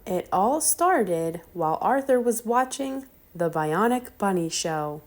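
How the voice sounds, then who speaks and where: A woman's voice: medium pitch, animated, slow pace. A woman narrating a children's picture book aloud. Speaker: storybook reader.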